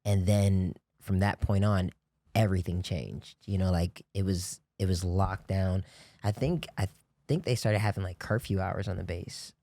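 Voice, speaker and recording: A man with a lowish, mellow voice tells stories in a loose, rambling way, often restarting and filling with "you know, like." It's relaxed podcast talk, recorded dry and close. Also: measured pace, no echo. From grew up on US military bases in Japan. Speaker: podcast guest. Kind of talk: casual chat.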